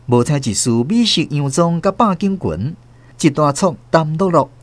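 A Taiwanese man narrating a scripted radio drama in an expressive storytelling manner. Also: on the high side, moderate pace, dry recording. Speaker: narrator and host. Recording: noisy background sound effects.

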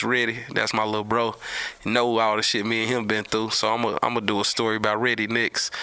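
A middle-aged man tells street stories casually in slang, with emphatic, animated delivery and repeated words. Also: mid-range voice, smooth. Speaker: storyteller, host of his own show. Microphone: close podcast mic on a boom arm.

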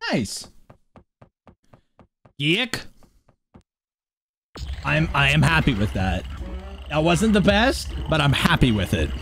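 A man's voice: on the high side, sing-song, takes it slowly, pauses often, fairly bright tone, a little hiss or hum. A man in his thirties on a headset, chatting casually and playfully while speedrunning a game, muttering little nonsense syllables as he plays. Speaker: speedrunner streaming. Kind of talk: casual live-stream chat while playing. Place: home room in front of a webcam. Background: game music.

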